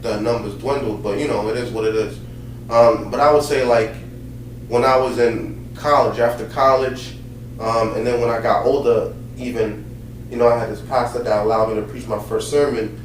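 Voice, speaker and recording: A Haitian-born man, a pastor and host telling his life story in a relaxed, casual chat full of fillers. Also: mid-range voice, moderate pace. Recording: roomy sound from a distant mic with faint noise.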